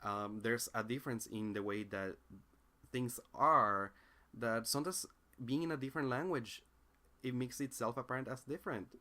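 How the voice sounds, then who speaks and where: A man's voice: medium pitch, moderate pace, pushed and bright. Thoughtful young man with a Spanish accent. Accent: Spanish-speaking. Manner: thoughtful, searching, many fillers and restarts. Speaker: independent philosophy commentator. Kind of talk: casual reflective monologue.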